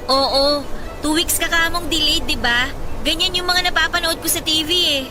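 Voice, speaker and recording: Cheerful young best friend, high voice, teasing n supportive, radio drama w loud music. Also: female voice, steady tone, moderate pace, crowd noise. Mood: reassuring. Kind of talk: Tagalog radio drama acting.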